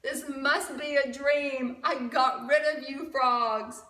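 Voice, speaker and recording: Proud, stubborn Egyptian king for a picture book, played by a woman: high, bright, emphatic, roomy sound. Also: fairly even intonation, slow, exaggerated voice. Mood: alarmed, dismayed. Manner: emphatic, boastful storybook exclaiming. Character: proud, stubborn, blustering male Pharaoh of Egypt. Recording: roomy, from a distant mic.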